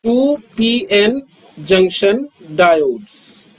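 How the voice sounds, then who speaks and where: A man, lecturing on physics and repeating key terms with frequent pauses. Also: sits high, sing-song, breathy edge, low-quality recording. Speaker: physics teacher. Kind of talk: slow, repetitive classroom lecture.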